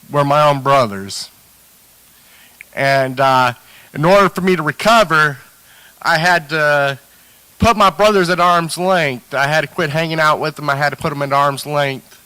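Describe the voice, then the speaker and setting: A man's voice: on the high side, unhurried, pauses often, sharp tone, clean tone, dry recording, rough recording. A man on a recovery panel, talking candidly about his struggles with many restarts and fillers. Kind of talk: candid personal testimony.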